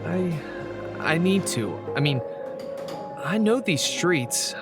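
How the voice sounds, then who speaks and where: A man playing a gentle, pained homeless man in a radio drama, hesitant and sparing with his words. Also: a little high, sing-song, slow pace, heavily acted. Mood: hesitant, earnest. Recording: prominent music under the voice.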